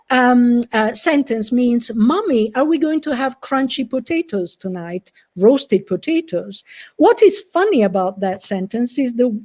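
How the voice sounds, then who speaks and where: A middle-aged woman, presenting research in a measured, explanatory way with frequent fillers and restarts. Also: fairly high pitch, darkish tone. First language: Italian. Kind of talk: recorded conference presentation.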